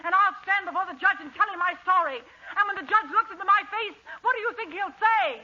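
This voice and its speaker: An actor playing a blustering, hysterically indignant comic, ranting in a 1940s radio comedy. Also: male voice, a little fast, pushed and bright, highs cut off, heavily acted. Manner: shrill, ranting.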